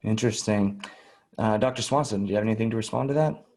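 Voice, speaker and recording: A man's voice: on the low side, fast, darkish tone. Man acting hesitant, earnest male panel moderator. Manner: hesitant, polite. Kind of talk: improvised mock panel debate.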